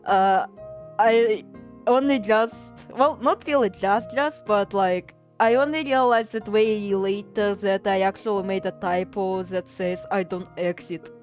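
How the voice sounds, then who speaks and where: A woman's voice: medium pitch, measured pace, darkish tone, soft background music. A woman from Russia with a Russian accent streams a game and chats casually, rambling, swearing freely and reading chat aloud. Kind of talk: casual gaming livestream chat.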